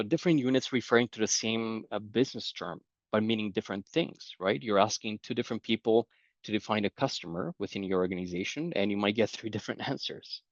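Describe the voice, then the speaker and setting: A man's voice: lowish voice, no room sound, highs cut off. A middle-aged man with a Romanian accent giving a conversational conference presentation, explaining ideas with everyday anecdotes. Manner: conversational, explanatory, tells anecdotes with light laughs. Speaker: conference speaker.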